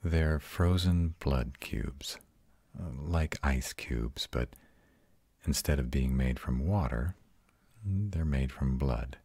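A man playing a proud but tender vampire lover in an intimate comfort roleplay, speaking in a soothing, reassuring way with a breathy, husky voice. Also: very deep voice, takes it slowly, warm tone, dry recording, full character voice. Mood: soothing, patient. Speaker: voice actor in a roleplay audio.

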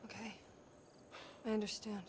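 An actress playing an earnest, resourceful young female survivor in a video game, speaking in a soft-spoken way. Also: mid-range voice, level tone, moderate pace, noisy background, close to an everyday voice. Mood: frightened. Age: young adult.

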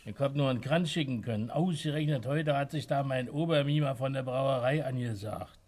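Actor as an obliging, chatty pub landlord. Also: male voice, mid-range voice, fairly quick, darkish tone, lightly acted. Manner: familiar, colloquial. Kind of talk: 1960s German radio play acting.

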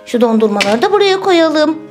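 Woman as obliging toy ice-cream seller, high-pitched. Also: very animated, moderate pace. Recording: music bed under the voice.

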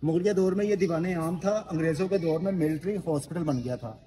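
Man, tour guide, explanatory, mellow tone, a bit high, roomy echo. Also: quick pace, recorded from a distance, slight background noise. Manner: explanatory, asks if listener follows. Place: inside an old stone fort, in and out of doors. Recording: echoey stone halls, faint ambience.

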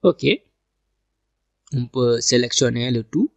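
A man giving a screen-recorded software tutorial, talking through each click step by step and often repeating himself. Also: medium pitch, takes it slowly, no echo. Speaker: software instructor. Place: at a computer, voice over screen capture.

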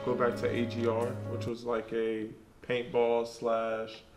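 A man's voice: medium pitch, monotone, unhurried, roomy sound, loud music behind the voice. A young man on a blind date, joking and defensive in casual banter.

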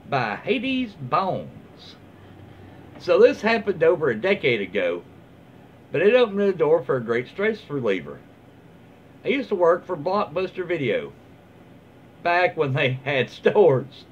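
A middle-aged man casually reading online stories aloud and adding his own comments, in an informal, halting way. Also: fairly high pitch. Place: small room at home, indoors, seated in armchair. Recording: dull lo-fi home recording, faint noise.

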